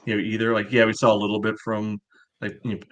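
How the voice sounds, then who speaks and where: A man co-hosting a podcast, chatting casually about sport with a hedging, measured way of making points. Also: fairly low pitch, little pitch movement, a bit bright. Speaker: podcast co-host.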